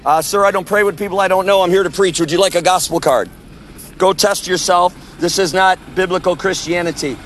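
A man preaching loudly and forcefully on an outdoor sidewalk, proclaiming to passersby. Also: sits high, fairly even intonation, a little fast, a little hiss or hum. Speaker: street preacher. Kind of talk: open-air street preaching.

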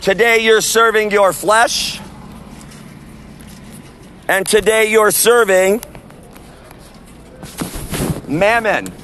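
Street preacher, male, high voice, loud proclaiming delivery, outdoors with faint street noise. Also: drawn-out words, long pauses. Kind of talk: open-air street preaching.

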